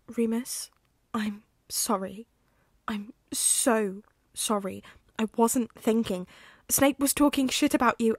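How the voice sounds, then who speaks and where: A woman's voice: fairly high pitch, a little mellow, dry recording. Woman doing desperate sorry teen boy, begging, swearing, dry close sound. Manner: pleading, frantic, swearing. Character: desperate remorseful teenage boy.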